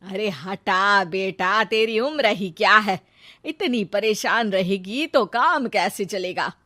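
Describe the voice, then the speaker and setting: A woman's voice: mid-range voice, takes it slowly, bright, forceful tone, no echo, studio quality. A woman voicing a fussy doting mother. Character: doting middle-aged mother, indulgent and fussy. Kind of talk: Hindi cartoon story voice acting.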